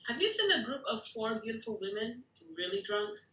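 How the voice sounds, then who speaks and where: A woman's voice: on the high side, big pitch swings, brisk, sharp tone. A woman acting a tough, blunt secret-agency recruit at a screenplay table read. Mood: urgent. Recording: echoey room.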